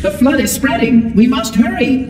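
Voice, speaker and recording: A man's voice: very high-pitched, normal speed, background noise. A man playing a fussy, cheerful floating robot monitor in a video game, speaking in a formal, precise and officious way. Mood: brisk, precise. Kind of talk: video game character dialogue. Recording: echoey hall, distant, loud music behind.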